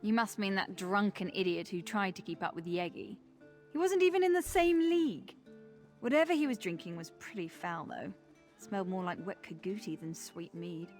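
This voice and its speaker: An actress playing a brash, amused tavern local in a fantasy video game, chatty, wry and emphatic. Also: female voice, mid-range voice, normal speed, a little mellow, faint music behind, close to an everyday voice. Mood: amused, boastful. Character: brash, amused female tavern gossip and drinking witness.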